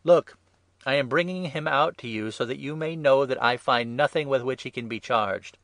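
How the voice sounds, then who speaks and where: A man voicing an exasperated Roman governor appealing to a crowd, loud and declamatory, in a dramatised scripture reading. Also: medium pitch, normal speed, no room sound, voice pushed up, close to an everyday voice. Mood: exasperated, emphatic.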